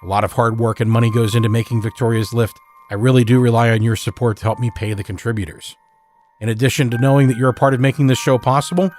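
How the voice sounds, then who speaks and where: A man hosting an audio fiction podcast, reading a scripted introduction and thanking supporters earnestly. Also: medium pitch. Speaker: podcast host. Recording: soft music underneath.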